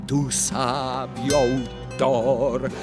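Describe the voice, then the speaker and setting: A man's voice: medium pitch, flat delivery, drawn-out words, loud music behind the voice. A man performing verse as a balladeer in a radio play.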